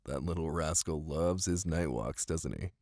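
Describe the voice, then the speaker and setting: A man doing a cozy boyfriend ASMR bedtime reading, soft, intimate and playful. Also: rumbling low, a little slow, dry recording, studio quality. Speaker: ASMR voice actor playing a caring boyfriend. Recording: light hiss under rain ambience. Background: rain.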